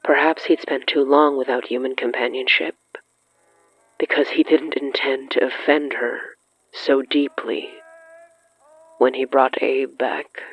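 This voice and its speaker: Slow measured horror podcast narrator, dramatic pauses, kinda muffled radio sound. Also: medium pitch, fairly even intonation. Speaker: narrator of an audio fiction podcast. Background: faint music.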